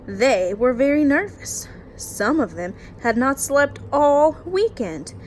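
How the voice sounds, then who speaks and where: A woman with a fairly high voice reads a picture book aloud like a teacher to her young class. She takes her time and adds casual, explanatory asides for the children. There is clear noise on the recording. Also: sing-song, takes it slowly. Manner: addresses young children directly, encouraging and explanatory.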